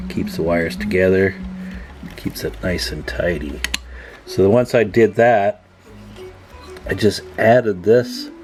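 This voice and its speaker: A man with a fairly low voice talks viewers through hands-on repair work. His delivery is casual and folksy, with joking asides as he thinks aloud. Music plays behind him. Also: a little mellow. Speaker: hobbyist radio repair demonstrator, channel host.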